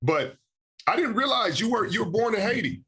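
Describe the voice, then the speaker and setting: A man in his thirties hosting a podcast interview, talking in a relaxed, slangy way with lots of "you know.". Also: high voice, brisk, slightly rough. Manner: laid-back, slangy, frequent "you know". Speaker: podcast host. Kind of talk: casual interview chat.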